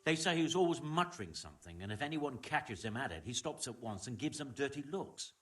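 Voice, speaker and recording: A man's voice: mid-range voice, expressive, fairly quick, a bit bright, recorded from a distance, natural voice. An actor playing a wary, grumbling middle-aged medieval tradesman in a video game, speaking in a guarded way. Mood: uneasy, suspicious. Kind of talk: video game dialogue acting.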